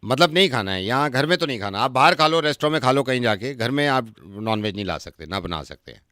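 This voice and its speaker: Guy as a strict nosy landlord type, clear forceful voice, keeps insisting on rules, prank call. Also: medium pitch, lively intonation, fast, no room sound, clean studio sound, voice pushed down. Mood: stern. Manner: insistent, repetitive, laying down rules. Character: strict, unbending, nosy male landlord's brother.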